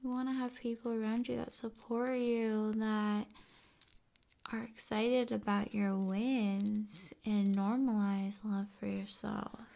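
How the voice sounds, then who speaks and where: A woman doing ASMR in a soft, often whispered voice, with unhurried, soothing phrasing. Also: mid-range voice, little pitch movement, creaky. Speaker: ASMR video creator.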